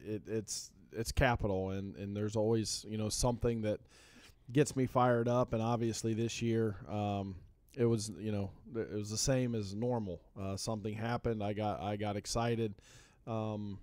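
Rambling football coach, smooth voice. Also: male voice, fairly low pitch, a little mellow, no echo. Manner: rambling with many fillers and restarts. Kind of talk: casual radio interview. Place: radio studio.

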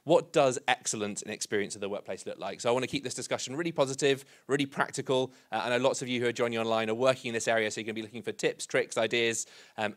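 A middle-aged man moderating a seminar panel, upbeat, quick, with fillers, into a handheld mic. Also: on the low side, fairly bright tone. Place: museum event space with a live audience. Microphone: handheld microphone.

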